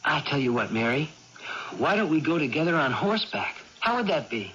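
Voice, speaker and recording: Anxious protective young brother, earnest and gentle, expressive swings in pitch, dull old-radio sound. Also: male voice, fairly quick. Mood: gentle, coaxing. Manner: earnest, cautious, pleading. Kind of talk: vintage radio drama acting. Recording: muffled noisy old radio broadcast.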